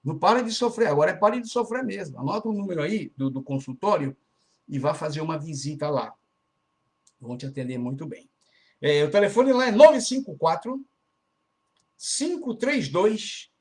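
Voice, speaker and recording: Chatty Portuguese-speaking radio church host, higher-pitched man. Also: expressive, moderate pace, darkish tone. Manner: chatty, keeps tagging phrases with "né?". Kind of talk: casual radio church announcements. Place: Brazilian church community in Florida.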